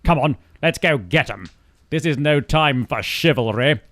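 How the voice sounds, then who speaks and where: A man's voice: medium pitch, expressive, unhurried, fairly bright tone. A man in an amateur comic radio play voicing a plummy, stiff-upper-lip British flying ace with clipped upper-class bluster. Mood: heroic.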